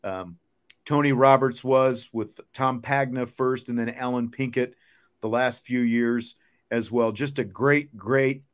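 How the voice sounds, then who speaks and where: A man's voice: mid-range voice, fairly even intonation, measured pace. A middle-aged man hosting a sports show in a casual, conversational style. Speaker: sports talk show host.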